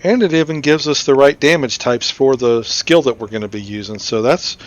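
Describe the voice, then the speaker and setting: A man's voice: mid-range voice, moderate pace, lo-fi sound. A man giving casual, unscripted commentary as he hosts a gameplay video. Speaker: gaming video host.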